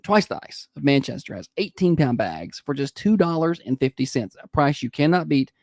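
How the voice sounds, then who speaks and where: A man's voice: fairly high pitch, expressive, darkish tone, dry recording, clean studio sound. A man in his thirties co-hosting a morning radio show, joking in casual banter. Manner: quick, joking, slightly self-deprecating. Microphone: studio microphone.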